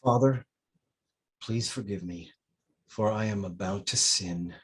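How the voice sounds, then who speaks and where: Slow, husky man acting a tormented priest. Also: on the low side, fairly bright tone, exaggerated voice. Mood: penitent, hushed. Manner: dramatic, deliberate delivery. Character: male priest and his taunting, bitter demonic alter ego. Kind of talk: screenplay table read.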